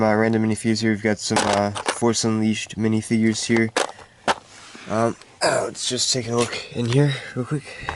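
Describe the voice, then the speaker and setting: A man with a congested voice giving a casual, halting, unscripted show-and-tell of a collection. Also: on the low side, background noise. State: stuffed-up, congested voice. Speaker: hobby collector hosting a video.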